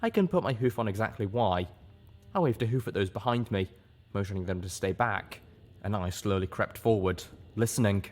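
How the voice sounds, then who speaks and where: A man reads a long fan novel aloud as an audiobook in a steady, earnest voice. His voice sits a little low for a man. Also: normal speed, soft background music. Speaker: audiobook reader. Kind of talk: audiobook narration.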